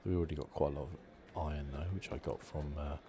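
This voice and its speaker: Male gaming host, extremely low voice, hushed and unhurried ASMR playthrough, clear background noise. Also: steady tone. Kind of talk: relaxed ASMR video game playthrough commentary. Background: video game ambience.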